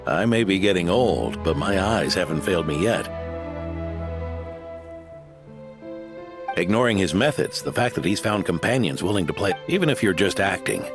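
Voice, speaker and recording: Elderly knight, low weathered voice, expressive, grandfatherly, modest, music behind, video game dialogue. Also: a little fast, few pauses. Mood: wry, kindly. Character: aging male knight, weary, modest, grandfatherly mentor.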